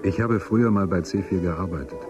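An actor playing a calm, protective, disillusioned man in a dystopian radio drama, speaking plainly and informally. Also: deep voice, brisk, over music, natural voice. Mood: quiet, reflective. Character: calm, protective male dissident, disillusioned former official. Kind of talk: German radio drama acting.

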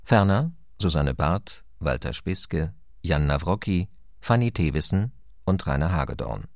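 A man's voice: very deep voice, animated, unhurried, creaky, a little mellow, no room sound, studio quality. A man reading formal scripted radio play credits as an announcer.

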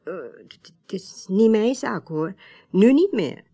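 A woman playing an estranged widow in a radio drama, speaking politely and haltingly, reserved but insistent. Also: medium pitch, expressive, warm tone, slightly rough, exaggerated voice. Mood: insistent, troubled. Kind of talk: naturalistic Dutch radio drama acting.

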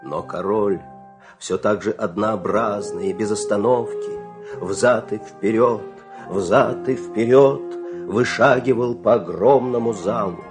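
A man narrating a fairy tale in a measured, expressive, theatrical storytelling style. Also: fairly low pitch. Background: music.

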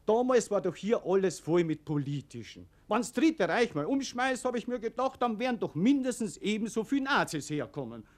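An actor with an Austrian accent playing a chatty, folksy prison warder in a German radio play. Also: male voice, sits high, big pitch swings, moderate pace, few pauses. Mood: puzzled. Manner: garrulous, folksy.

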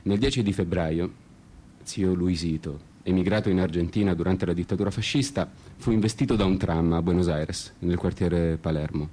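A man narrating a scripted first-person story in an ironic, disenchanted, deliberately repetitive way. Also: deep voice, mellow. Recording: prominent music under the voice. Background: plucked guitar music.

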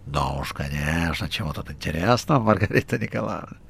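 Picture a cheeky, fanged red-haired rogue from an audiobook, as a male reader puts him on, sly and rude with a deep, low voice. He speaks in an offhand way, and there is faint hiss behind him. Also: expressive, brisk, gravelly, a bit bright, heavily acted. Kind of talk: audiobook character reading.

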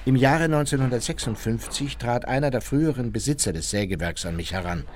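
A man's voice: mid-range voice, fairly quick, a little mellow, a little hiss or hum, natural voice. An actor playing a self-justifying German Nazi war criminal, narrating his memoirs in a composed, matter-of-fact way for a radio drama.

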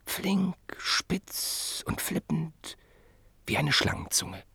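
A man narrating a radio play in a measured, literary storytelling manner. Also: fairly high pitch, sing-song, gravelly, pushed and bright, breathy edge, no room sound, in a whisper.

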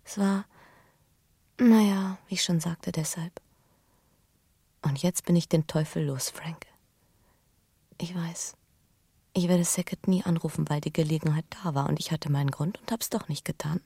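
Breathy, intimate young woman in radio drama. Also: low-pitched, no room sound. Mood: hushed confession. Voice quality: breathy, often sinking to a whisper. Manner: hushed, confiding, deliberate phrasing. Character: young pregnant woman, earnest and intimate lover with a guilty past. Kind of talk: German radio drama acting.

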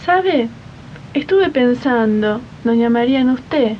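A woman plays a patronising housewife who employs maids and feigns kindness. Her voice is high and brisk, in radio drama style, on a dull archive recording with faint rumble. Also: lively intonation. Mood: falsely concerned. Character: condescending lady of the house, falsely kind employer. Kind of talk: 1980s amateur radio drama acting.

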